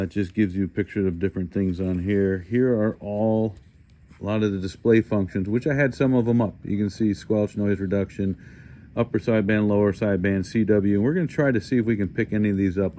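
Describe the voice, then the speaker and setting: A man's voice: fairly low pitch, a little mellow. A man hosting a casual hands-on product walkthrough, talking informally and thinking aloud as he goes. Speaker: host of a hobby radio review channel.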